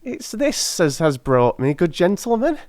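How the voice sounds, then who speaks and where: High-pitched, garrulous old London crone. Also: male voice, sing-song, unhurried, a little mellow, no room sound. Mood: wheedling, rambling. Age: older. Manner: mumbling, rambling, effusively grateful. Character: mumbling old working-class London crone, garrulous, wheedling.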